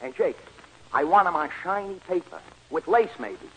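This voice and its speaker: An actor playing a bossy, slangy New York horse-betting gambler in a 1940s radio comedy, talking fast and ungrammatically. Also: male voice, a little high, sing-song, a bit bright, slight background noise, poor audio. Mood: excited, pushy. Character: brash, bossy American horse-betting gambler, sentimental, uneducated. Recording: old 1940s radio broadcast recording.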